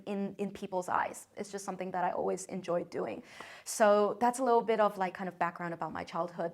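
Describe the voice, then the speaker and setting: A young woman in her twenties speaks with an American accent, relaxed and conversational as she addresses her viewers. Her talk is chatty and upbeat, sprinkled with "like" and "um", sitting at a mid-range pitch for a woman. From China. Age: 20s. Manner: chatty and upbeat, frequent "like" and "um" fillers. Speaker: content creator. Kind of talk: casual vlog talk to camera.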